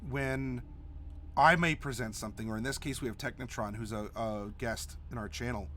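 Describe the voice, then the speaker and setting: Stuffy, hoarse man hosting a stream. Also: mid-range voice, steady tone, moderate pace, sharp tone, slight background noise. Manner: rambling, many restarts and fillers. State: stuffy with a cold, slightly hoarse. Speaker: stream host. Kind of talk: casual live-stream talk.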